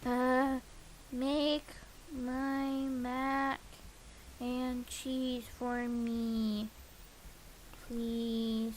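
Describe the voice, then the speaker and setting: A woman's voice: on the high side, little pitch movement, drawn-out words, long pauses, a little hiss or hum. A woman streaming a visual novel, reading it aloud with playful asides and bits of nonsense scatting. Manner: playful, scatting nonsense syllables and muttering to self. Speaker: game streamer.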